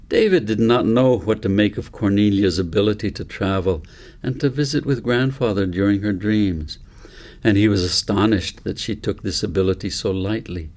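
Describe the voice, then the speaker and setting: Low, gravelly man reading a story. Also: darkish tone. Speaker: author reading own book. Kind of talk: audiobook story narration.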